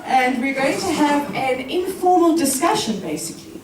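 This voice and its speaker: A woman hosting an informal live interview in front of an audience, with a loud, chatty way of speaking. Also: high-pitched, lively intonation, moderate pace, echoey room, far from the mic, noisy background. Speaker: event host interviewer.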